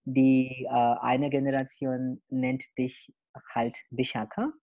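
A young man hosting a podcast, opening with a soft spoken introduction and then chatting with many fillers. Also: mid-range voice, level tone, lo-fi sound. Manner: soft, slow intro over music, then chatty and halting. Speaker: podcast host. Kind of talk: podcast interview with narrated intro.

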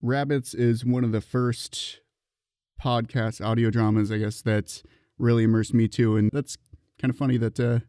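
A man's voice: mid-range voice, flat delivery, moderate pace, warm tone, dry recording, studio quality. A man hosting a casual interview, asking questions in a conversational way. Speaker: podcast interviewer, host.

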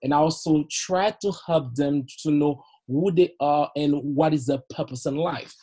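A man's voice: on the high side, normal speed, warm tone, breathy edge. A middle-aged man from Haiti with a Haitian accent, a youth pastor guest on a podcast, telling his story earnestly with frequent laughs and repeated words. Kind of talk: podcast interview, personal story.

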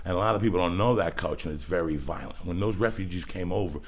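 A man hosting a podcast in casual, blunt and profane chat, telling stories at a rapid clip. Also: low-pitched. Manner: blunt, crude and profane, rapid-fire storytelling. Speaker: podcast host.

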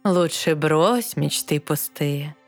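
A wise old mermaid grandmother, with a low, slow voice. She speaks in measured verse, calm, kindly and matter-of-fact, in a fairy-tale audio play over faint music. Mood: firm advising. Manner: measured, explanatory.

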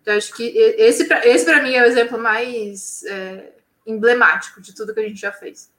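Woman, science channel person, bright voice, chatty and animated, roomy echo. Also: on the high side, normal speed. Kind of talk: casual interview talk.

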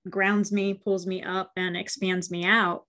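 A woman hosting an interview podcast, affirming her guest with quick, enthusiastic responses. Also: medium pitch, level tone, sharp tone. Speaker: podcast host. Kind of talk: warm conversational interview.

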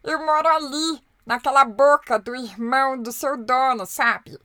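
A woman voicing a weak, unhappy little word that has run away and now asks softly and slowly for shelter. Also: sits high, no echo, studio quality. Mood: wistful. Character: timid runaway little please-word, weak and sad.

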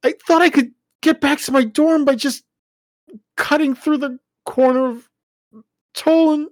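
Storybook figure: female reader as a guilt-ridden wounded young man, halting and tearful, pitched high. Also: lively intonation, fairly bright tone, slightly rough, dry recording, exaggerated voice. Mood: pained, tearful. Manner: halting, breaking voice. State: crying, in pain.

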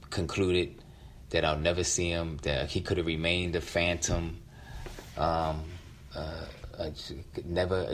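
Very deep-voiced American man, reflective storyteller. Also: slight background noise. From West Philadelphia, United States. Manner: halting, reflective. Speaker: featured storyteller. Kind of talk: personal storytelling.

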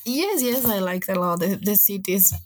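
A woman's voice: medium pitch, lively intonation, moderate pace. A young woman from Ecuador with an Ecuadorian Spanish accent, a native Spanish speaker, chatting casually and gigglily as a podcast guest. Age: young adult. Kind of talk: casual chat.

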